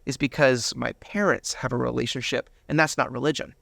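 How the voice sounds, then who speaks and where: Gravelly-voiced man telling his story. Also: medium pitch, steady tone, brisk, a bit bright, no echo. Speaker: interview guest. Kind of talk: personal testimony storytelling in an interview.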